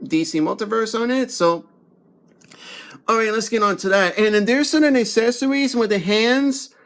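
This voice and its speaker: Guy with a pretty high voice doing a casual toy unboxing, kinda rambly and halting. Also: fairly bright tone. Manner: rambling, halting, repetitive phrasing. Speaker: toy reviewer.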